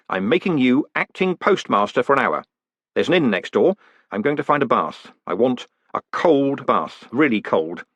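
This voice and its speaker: Man acting a brash, husky postmaster, very animated. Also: medium pitch, dry recording, voice pushed up. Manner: clipped orders and quick questions. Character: brisk, brash male postmaster, cocky and commanding.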